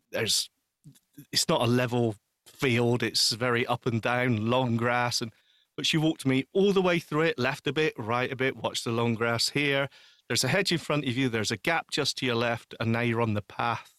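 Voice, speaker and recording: A man in casual podcast conversation, recorded dry and close with no room sound. He speaks at an ordinary male pitch. His delivery is animated and emphatic, and he often repeats a phrase to drive it home. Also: moderate pace, no echo. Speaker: podcast co-host. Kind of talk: casual chat, telling an anecdote.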